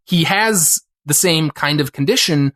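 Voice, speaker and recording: Halting, rambling podcast co-host man. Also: a little high, a bit bright. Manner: halting and rambling, lots of restarts. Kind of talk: casual chat.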